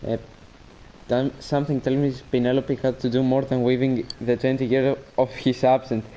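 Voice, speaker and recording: A man's voice: medium pitch, flat delivery, moderate pace, mellow, slight background noise, rough recording. A man with a Greek accent chatting casually and joking while streaming a game and reading chat.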